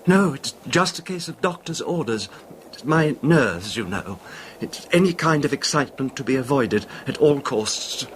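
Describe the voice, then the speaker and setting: An actor playing a nervous, apologetic little man in a 1970s radio horror drama, soft-spoken, tentative and overly polite. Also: mid-range voice, lively intonation, moderate pace, close to an everyday voice. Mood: nervous, confiding. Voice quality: soft-spoken, sometimes barely audible. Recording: faintly noisy old radio recording.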